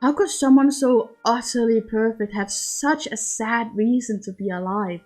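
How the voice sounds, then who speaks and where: A woman's voice: a little high, unhurried, darkish tone. Woman reading a story, music behind. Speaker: narrator, amateur fiction reader. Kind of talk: scripted story narration.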